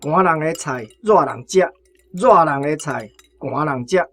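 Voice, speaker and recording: A woman's voice: low voice, expressive, a little slow, a little hiss or hum. A woman playing an old country grandfather in a radio drama, opinionated and bluntly instructive, with a Taiwanese accent. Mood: warning.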